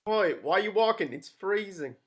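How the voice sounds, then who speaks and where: A woman reading the part of a cocky young working-class lad, with a flat, offhand delivery, in an amateur table read over a video call. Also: medium pitch, moderate pace, husky. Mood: defiant.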